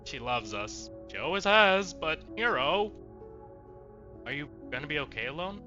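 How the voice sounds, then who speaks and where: A man doing expressive, emotional gameplay commentary as a streamer, casual and sweary. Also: a little high, measured pace, music in the background. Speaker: let's play streamer. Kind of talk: gameplay commentary with reading aloud of dialogue choices. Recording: dull lo-fi mic, faint noise.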